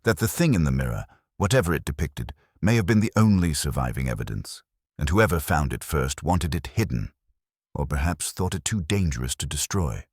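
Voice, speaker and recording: A man's voice: a bass voice, very animated, brisk, breathy edge, no room sound, studio quality, full character voice. A man playing a shrewd, courteous police inspector who questions tersely and dryly in a detective story. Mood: dry, suspicious. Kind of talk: audiobook detective mystery character reading.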